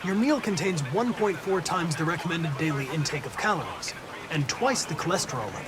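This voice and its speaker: A man's voice: fairly high pitch, expressive, fairly quick, background noise, lightly acted. A man playing a polite young android detective in a video game, speaking formally and precisely in an earnest, analytical way. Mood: earnest, composed.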